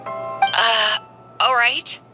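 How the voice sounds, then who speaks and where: A woman's voice: a little high, fairly even intonation, extremely slow. A woman playing a young, deferential and anxious shop assistant in a video game, hesitant and dutiful. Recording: game audio with music behind.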